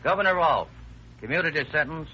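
An American actor playing a stern, probing police captain in 1930s radio style, clipped and matter-of-fact. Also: male voice, on the high side, lively intonation, moderate pace, a little hiss or hum, close to an everyday voice. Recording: dull old radio recording.

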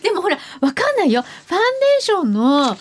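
A woman co-hosting a radio show in casual chat, quick to react and ask questions. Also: very high voice, wildly swooping pitch.

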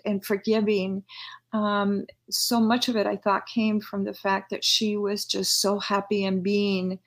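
Brief: middle-aged woman from Peru, podcast guest, even monotone, halting with fillers. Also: medium pitch. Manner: halting, many fillers and restarts. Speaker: podcast guest, beauty founder. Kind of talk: casual interview talk.

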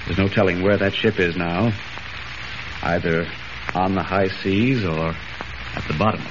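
Grave deep-voiced doctor. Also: male voice, steady tone. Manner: measured, solemn. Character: grave, resigned hospital doctor. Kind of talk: 1940s radio serial acting. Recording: noisy, muffled vintage radio recording.

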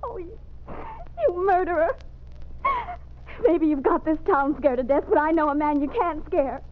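High-pitched spirited frontier girl. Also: unhurried. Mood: distraught, defiant. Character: spirited, indignant young frontier niece. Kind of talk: old-time radio Western acting. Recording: muffled vintage radio recording.

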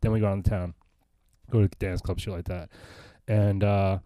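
A man's voice: low-pitched, flat delivery, normal speed, warm tone, dry recording. An American man in his thirties, telling stories in a rambling, profane, casual podcast chat and laughing often. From United States.

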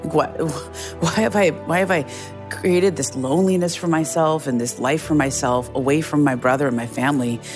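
A woman's voice: low-pitched, moderate pace, music in the background. A middle-aged woman journalist telling a story in an earnest, reflective way, often restarting her phrases. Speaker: journalist guest. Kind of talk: conversational storytelling interview.

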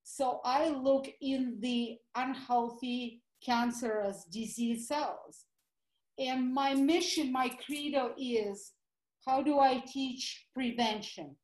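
A woman's voice: high voice, takes it slowly, darkish tone, echoey room, rough recording. A middle-aged woman with a Russian accent, a guest doctor telling her story eagerly in a call-in interview. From former Soviet Union.

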